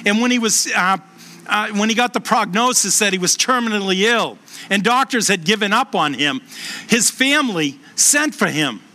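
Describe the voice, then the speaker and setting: A middle-aged man with a Boston accent, a pastor preaching a sermon and telling stories earnestly, slowing down for emphasis. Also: animated, pushed and bright. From Boston, USA. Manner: earnest storytelling, slows and lowers voice for emphasis. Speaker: pastor and hospice chaplain.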